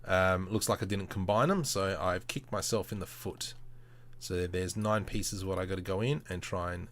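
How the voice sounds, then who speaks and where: A man's voice: deep voice, normal speed, fairly bright tone, a little hiss or hum. A man hosting a casual livestream, thinking aloud as he works, with informal and playful muttering to himself. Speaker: livestream host demonstrating 3D modelling.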